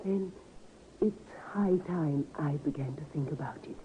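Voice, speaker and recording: Radio drama part: an insistent, gentle middle-aged daughter, lowish and expressive, on a narrow old recording. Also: female voice, measured pace. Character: earnest, frail middle-aged daughter settling her will. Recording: noisy, narrow-band vintage radio recording.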